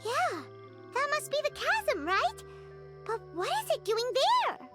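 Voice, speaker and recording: A woman voicing a small, childlike, excitable fairy companion in a video game, squeaky and inquisitive. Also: slow, over loud music, heavily acted. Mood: curious. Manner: speaks of self in third person, inquisitive. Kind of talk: animated game dialogue.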